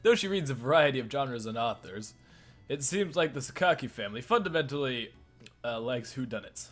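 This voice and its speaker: A man's voice: medium pitch, lively intonation, fairly quick. A man hosting a let's play, reading a visual novel's narration aloud with joking banter.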